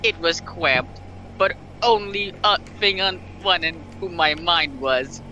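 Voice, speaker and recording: Casting: man, very sharp bright tone, dramatic amateur story reader, slight background noise. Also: a little high, slow pace, poor audio. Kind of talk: dramatic story reading with joking asides.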